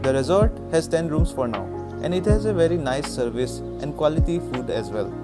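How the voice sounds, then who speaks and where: A man's voice: a little high, lively intonation, fairly quick. A man with an Indian accent reading scripted travel narration as a vlogger. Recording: loud music under voice-over. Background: loud music.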